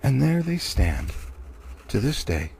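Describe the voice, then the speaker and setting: A man's voice: mid-range voice, very animated, takes it slowly, creaky, darkish tone, slight background noise. A man narrating a storybook expressively as an audiobook reader.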